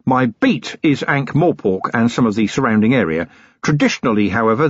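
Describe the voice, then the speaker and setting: Man playing a wry policeman, middle-aged, measured, dull dry sound. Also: mid-range voice, slightly rough, no echo, voice pushed up. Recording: dry, slightly dull recording.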